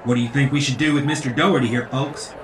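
A man's voice: mid-range voice, fairly even intonation, fast talker, close to an everyday voice. A man playing a crafty, greedy revival preacher in an audio drama, showy and folksy, full of rhetoric. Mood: mock outrage. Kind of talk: theatrical sermon in audio drama. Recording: echoey, distant voice with crowd.

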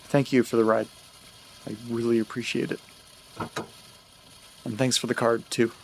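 An actor playing an anxious, desperate man in an audio drama, speaking haltingly and repeating words. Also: mid-range voice, flat delivery, creaky, noisy background, lightly acted. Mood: awkward gratitude. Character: anxious, desperate man searching for his dog.

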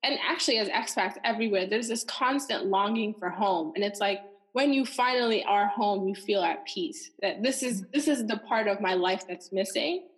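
A woman's voice: mid-range voice, moderate pace, breathy edge, low-quality recording. A woman from the United States co-hosting a casual podcast chat, asking earnest, probing questions. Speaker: co-host.

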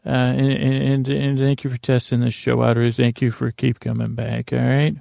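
A man hosting a podcast, talking in a meandering, halting way and drifting into a slow, lulling bedtime monologue. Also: medium pitch, little pitch movement, gravelly, mellow, no echo, studio quality. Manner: meandering, halting, with restarts and filler words; slow drowsy lulling delivery. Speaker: podcast host.

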